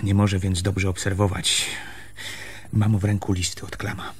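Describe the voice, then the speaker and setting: An actor playing a stern, insistent land surveyor with a husky voice and formal, argumentative speech in a radio play. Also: male voice, deep voice, completely flat delivery, a little slow, slight background noise. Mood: reasoning, insistent.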